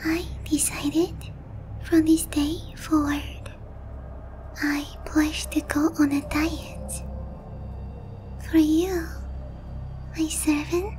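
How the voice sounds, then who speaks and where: A woman voicing an obsessive, lovesick young vampire princess in breathy, intimate roleplay ASMR. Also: high voice, little pitch movement, darkish tone, faint music behind. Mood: solemn, devoted. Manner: breathy, pleading, slow and intimate.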